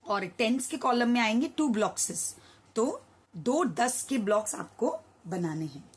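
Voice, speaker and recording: A young woman teaching a children's maths lesson, her voice hoarse, counting slowly aloud and asking the children questions. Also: a little high, very animated. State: hoarse from shouting at school.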